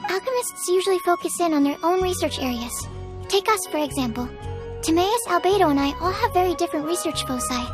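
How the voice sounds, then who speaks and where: A woman's voice: extremely high pitch, lively intonation, a little fast, over music. A woman voicing a timid, earnest young female alchemist in an anime-style game, hesitant and apologetic. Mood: calm, explanatory. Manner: hesitant, softly explanatory, apologetic.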